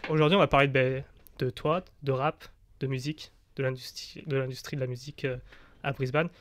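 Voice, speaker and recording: A man's voice: medium pitch, fairly even intonation, a little fast, a bit bright, no room sound. A man hosting a casual, conversational radio interview in a studio.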